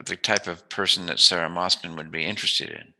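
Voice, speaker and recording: A man hosting a casual, joking interview, rambling and teasing and quick to laugh. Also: sits low, steady tone, gravelly, sharp tone, rough recording. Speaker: interviewer, host.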